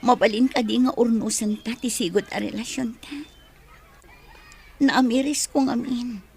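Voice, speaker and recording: A woman's voice: on the high side, steady tone, unhurried, darkish tone, slightly rough. A woman playing a heartbroken, regretful young woman in a radio drama, pleading and tearful, with a Filipino Ilocano accent.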